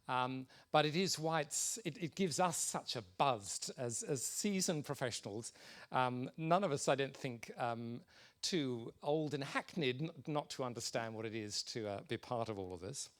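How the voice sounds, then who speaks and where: A man in his sixties speaking as an organisation's president, giving an informal, somewhat hesitant welcome to a conference. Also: medium pitch. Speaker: president of a conservation institute.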